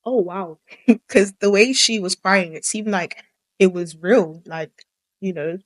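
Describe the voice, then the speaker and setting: A woman's voice: medium pitch, unhurried, dry recording. A young woman interviewing a guest, asking probing, teasing questions and murmuring agreement. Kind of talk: casual interview chat.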